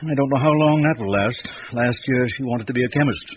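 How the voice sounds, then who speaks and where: A man playing a courteous, erudite, middle-aged gentleman with an obsession, speaking deliberately and at length in a radio drama. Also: medium pitch, natural voice. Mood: dryly amused. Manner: genteel, probing, deliberate, loquacious. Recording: dull old radio recording, faint noise.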